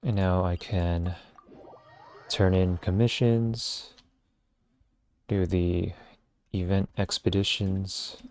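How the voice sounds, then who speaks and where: Guy streaming a game, real low voice, mumbling thoughts to himself casually, bit of noise behind. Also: fairly even intonation, slow pace, long pauses, a little mellow. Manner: low-key, thinking aloud. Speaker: livestreamer. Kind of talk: casual gameplay commentary.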